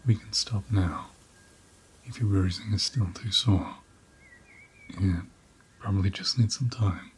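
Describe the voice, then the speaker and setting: A man playing a gentle, caring ram in an ASMR roleplay, speaking in a soft, breathy half-whisper with a soothing, tender manner. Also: fairly low pitch, measured pace, pauses often, slight background noise, lightly acted. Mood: soothing, reassuring. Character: gentle, caring male ram tending an injured lamb.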